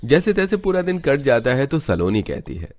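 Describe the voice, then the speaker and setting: A man reading scripted storytelling narration for an animated family tale. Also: mid-range voice, moderate pace.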